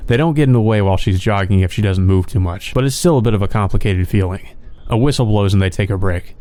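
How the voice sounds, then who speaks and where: A man reading visual novel narration aloud for a let's play, in a plain, measured storytelling manner. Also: fairly low pitch, dark tone.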